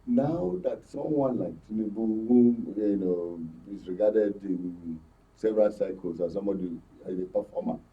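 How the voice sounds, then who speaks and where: A Nigerian man giving emphatic, halting current affairs commentary as a panel guest, often repeating his words. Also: lowish voice. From Benue, Nigeria. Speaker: studio guest, journalist commentator. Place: broadcast studio panel. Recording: distant mic, dull muffled audio.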